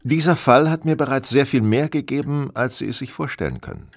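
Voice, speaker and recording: An actor playing a cool, courteous, brooding master detective in a German audio drama, formal and reserved. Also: male voice, medium pitch, a little fast, vocal fry, no room sound, lightly acted. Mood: pensive.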